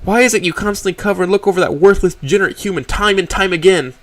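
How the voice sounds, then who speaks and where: A man voicing an angry young female raptor, snarling and shouting in bitter rage. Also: sits high, quick pace, pitched above the performer's own voice, lightly acted. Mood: furious.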